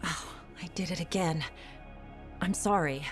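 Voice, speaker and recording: Actress as confused gentle woman, hesitant, halting, low voice, slow. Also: soft background music, natural voice. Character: confused gentle woman unsure of her memories. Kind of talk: video game dialogue.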